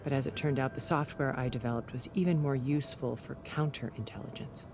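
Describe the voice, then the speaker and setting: An actress playing a composed, secretive, cultured woman in a video game, speaking in a measured, articulate, deliberate way. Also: rumbling low, steady tone, over music. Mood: somber, reflective. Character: composed, secretive, cultured older woman, former intelligence broker. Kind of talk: video game cutscene dramatic acting.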